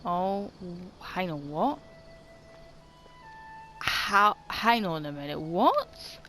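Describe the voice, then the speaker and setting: Slow, low-pitched woman doing gameplay commentary. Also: sing-song, pushed and bright. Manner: hesitant, thinking aloud. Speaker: gaming video creator. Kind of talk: casual let's-play commentary. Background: game music.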